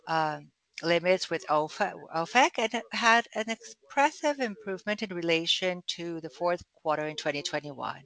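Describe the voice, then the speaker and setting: A man presenting quarterly results on an earnings call, speaking formally with frequent fillers and halting restarts. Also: a little high, bright, forceful tone, rough recording. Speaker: company executive on earnings call.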